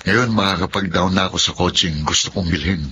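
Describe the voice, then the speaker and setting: A man's voice: low-pitched, a little fast, noisy background, lightly acted. An actor playing a polite, confident, well-meaning adult man in a Filipino radio drama.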